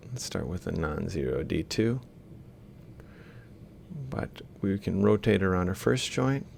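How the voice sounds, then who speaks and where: A man lecturing in a deep voice heavy with vocal fry. He explains things methodically, one step at a time, as if thinking aloud while he writes. There is some rumbly noise behind him. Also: a little mellow. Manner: explanatory, step by step, reciting values piecemeal. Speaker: university lecturer. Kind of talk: instructional screen-capture lecture, thinking aloud while writing.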